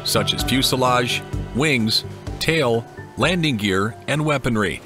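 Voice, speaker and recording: Guy doing a documentary voice-over, mid pitch, steady and measured, music playing under it. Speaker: narrator. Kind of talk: scripted documentary narration. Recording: voice-over with clear music behind.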